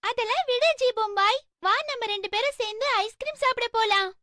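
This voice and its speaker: A woman voicing an expressive, pleading little schoolgirl in a dubbed cartoon. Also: extremely high pitch, fairly quick. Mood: wheedling. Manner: childlike, expressive. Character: schoolgirl, small, pleading and wheedling.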